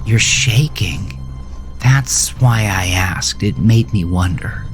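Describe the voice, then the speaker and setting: A man's voice: on the low side, slow, music in the background. A man voicing a forthright, probing woman in an audio drama, direct and teasing. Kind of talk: audio drama character dialogue.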